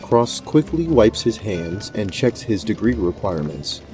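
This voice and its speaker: Male game master narrating fantasy, lowish pitch, dark mellow tone, soft music underneath. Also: sing-song, measured pace.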